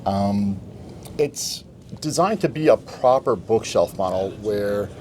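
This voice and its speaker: A man giving a measured, articulate product presentation as a company representative at a trade show. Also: on the low side, expressive, a little hiss or hum. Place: indoor exhibit room at audio show. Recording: music playing in a show room. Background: loud music.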